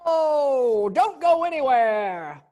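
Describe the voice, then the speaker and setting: Dramatic, slow-paced woman narrator. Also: high voice, big pitch swings, dull audio, over music. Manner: dramatic, exclamatory. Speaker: narrator and host. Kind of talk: theatrical radio-mystery style narration.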